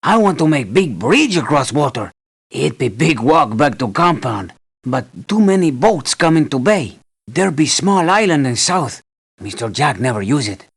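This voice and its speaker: A simple, friendly island native guide for a video game. He talks in broken pidgin English, in short plain phrases. His husky male voice moves freely up and down. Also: a little high, big pitch swings, moderate pace, exaggerated voice. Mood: uneasy. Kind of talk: video game character voice lines.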